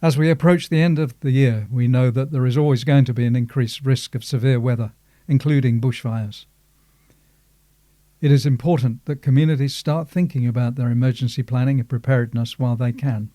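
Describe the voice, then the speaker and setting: Australian male reader, dark mellow tone, dry studio-like sound, formal prepared statement. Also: medium pitch, steady tone, a little fast, no room sound. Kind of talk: scripted reading of a formal statement.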